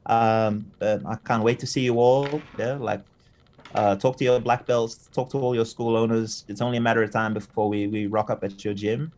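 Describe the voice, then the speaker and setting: A man's voice: fairly low pitch, fairly even intonation, moderate pace, a little mellow. Chatty Fijian-raised man telling stories. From Fiji. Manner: chatty, keeps adding "yeah?" and "right?". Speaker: interview guest, jiu-jitsu coach. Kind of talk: casual storytelling interview.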